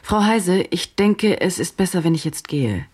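An actress playing a probing, reflective woman journalist in a radio drama, with a deliberate, brooding delivery. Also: lowish voice, expressive, a little mellow, breathy edge. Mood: self-questioning, confessional.

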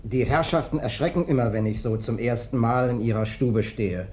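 Casting: man playing a hulking ex-wrestler tramp for radio drama, obsequious, folksy, quietly threatening, mid-pitched, on a dull recording. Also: moderate pace, a little hiss or hum, lightly acted. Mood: humble, ingratiating. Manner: obsequious, folksy, wheedling, falsely humble. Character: big, ingratiating ex-wrestler drifter, slyly menacing. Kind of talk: German radio play acting. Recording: dull, band-limited radio play recording.

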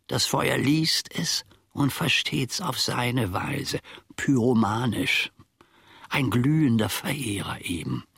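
An actor playing a pedantic, simple-minded manservant in a radio play, speaking in a ponderous, mock-learned, rambling way. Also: male voice, mid-range voice, gravelly, fairly bright tone, husky, no echo, studio quality, exaggerated voice. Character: pedantic, simple-minded male servant of a philosopher. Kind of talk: German radio play acting.

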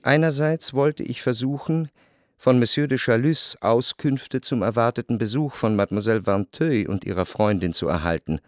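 An actor playing a reflective, refined first-person narrator in a radio drama, reading in a measured literary style. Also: male voice, medium pitch, a little mellow, dry recording, studio quality, lightly acted.

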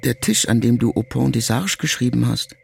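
A man voicing a frail, strong-willed ninety-year-old mother with a soft, plaintive, questioning lilt in an audiobook, music clearly heard beneath. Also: medium pitch, animated, moderate pace, dry recording, pitched above the performer's own voice, exaggerated voice. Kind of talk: audiobook character voice.

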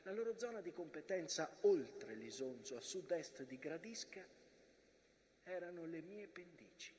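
A man's voice: on the high side, animated, measured pace. A man acting a haunted, fervent storyteller of First World War trenches in a theatrical, urgent stage monologue. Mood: haunted, grave. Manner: theatrical, urgent, swinging between hushed and declaimed. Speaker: stage actor. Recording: reverberant theatre hall, clear background noise.

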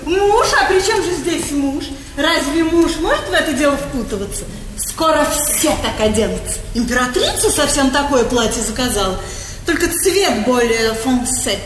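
A woman's voice: sits high, lively intonation, moderate pace. An actress playing a vain, effusive aristocratic lady in a stage comedy, with affected speech full of French endearments. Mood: breezy, insistent. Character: gushing, vain aristocratic lady, a princess in 1800. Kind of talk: theatrical stage comedy acting. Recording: echoey theatre hall, noisy 1980s recording.

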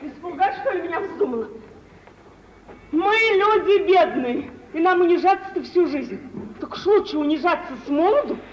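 A worldly mother forever scheming for her daughter's match. Her voice is very high, coaxing and briskly practical, with old-fashioned stage diction. The radio play recording is old, dull and lightly noisy. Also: animated, reverberant. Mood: mocking, practical. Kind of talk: 1940s theatrical radio acting.

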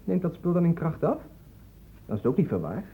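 A man's voice: fairly high pitch, very animated, brisk, breathy edge, full character voice. An actor playing an indignant young man in a radio play, speaking for his friends with insistent, challenging questions. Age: young adult. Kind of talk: Dutch radio drama acting. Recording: muffled, narrow-band old radio recording, noisy.

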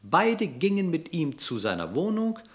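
A male narrator telling a verse tale for a radio play in a measured, expressive, dramatic storytelling style. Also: a little high.

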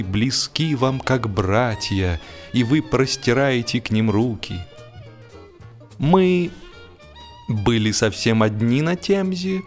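A male audiobook narrator delivers humorous prose in a studio, with music behind him. His medium-pitched voice is theatrical and mock-lofty. He phrases expressively and leaves deliberate pauses. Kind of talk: dramatic humorous literary narration.

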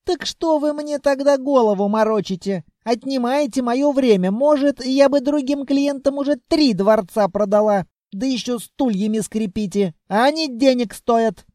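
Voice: medium pitch, expressive, moderate pace, dark tone, dry recording, clean studio sound, voice pushed up, natural voice. Чтец озвучивает молодую продавщицу-блондинку из аудиокниги, заносчивую, мелочную сплетницу с манерной речью. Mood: huffy, spiteful. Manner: affected, huffy, confiding. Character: snooty young blonde saleswoman, gossipy, petty, xenophobic.

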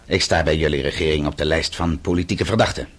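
An actor in a radio play voicing a bitter, defiant exiled banker who speaks with scornful, accusing emphasis. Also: male voice, rumbling low, fairly even intonation, fast. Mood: bitter, challenging. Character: defiant, bitter exiled male banker, suspected political dissident.

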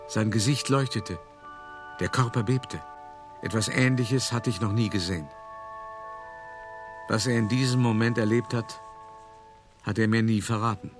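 A man playing a shrewd, worldly art dealer who recounts his past in a radio drama, in a wry, measured, conversational way. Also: mid-range voice, lightly acted. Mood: confiding. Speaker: narrator. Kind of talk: radio drama monologue, first-person storytelling.

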